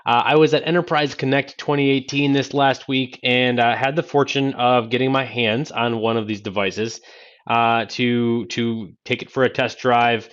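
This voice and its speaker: A man in his thirties hosting a casual tech unboxing in a halting, conversational way, speaking into a Bluetooth headset in a small office. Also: medium pitch, steady tone, a bit bright, clear, resonant tone. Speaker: tech video host demonstrating a headset. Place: small home office, indoors. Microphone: single-ear Bluetooth headset. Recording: dull narrow-band Bluetooth headset sound.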